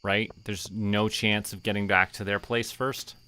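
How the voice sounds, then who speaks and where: A man giving relaxed game stream commentary, casually thinking aloud and talking to himself and the characters. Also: lowish voice, a little slow, a little hiss or hum. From Canada.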